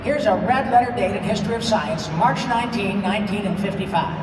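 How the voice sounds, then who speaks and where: Woman playing an excitable old scientist, echoey hall. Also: medium pitch, brisk, lightly acted. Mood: grandly explanatory. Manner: exclaiming, grandly explanatory. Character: excitable eccentric old male scientist, zany and emphatic. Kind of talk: comic live table read of a film script. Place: large convention hall stage. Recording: echoey hall from far off, loud noise.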